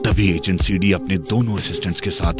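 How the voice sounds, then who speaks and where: A man's voice: sits low, steady tone, brisk. A man with an Indian accent reading scripted narration for a radio drama. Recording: loud music under the voice. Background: music.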